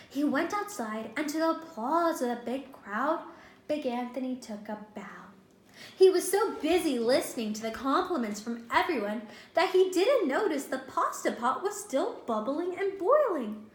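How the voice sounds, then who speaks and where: A woman's voice: sits high, very animated, measured pace. A woman reading a picture book aloud to young children as a teacher, expressively, stopping to ask questions and explain. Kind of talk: animated storybook read-aloud to young children. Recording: roomy, echoing indoor sound.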